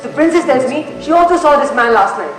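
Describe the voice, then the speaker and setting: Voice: high voice, animated, fast, fairly bright tone. A teenage student acting a proud, long-serving train conductor in a stage play, earnest and formal. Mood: earnest, defensive. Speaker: student actor in a school play. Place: school stage, indoors. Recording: echoey hall, faint music behind.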